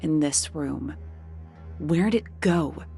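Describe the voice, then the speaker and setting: A woman playing a sharp, pensive detective in a mystery video game, speaking in short, measured lines as she works through a case. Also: sits low, gravelly, soft background music, full character voice. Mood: pensive, questioning.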